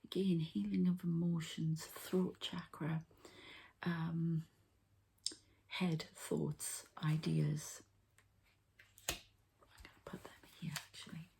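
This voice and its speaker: A woman softly whispering an ASMR healing ritual, breathy and halting, as if thinking aloud. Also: deep voice, level tone, slight background noise, low-quality recording. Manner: unhurried, halting, thinking aloud while handling objects. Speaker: host, energy healer and card reader.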